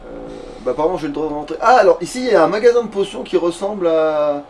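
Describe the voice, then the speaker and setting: High-voiced man, crude gaming banter, distant mic. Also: expressive, racing, a little mellow. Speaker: let's play co-host. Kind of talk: casual gaming commentary. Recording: distant mic, faint background noise.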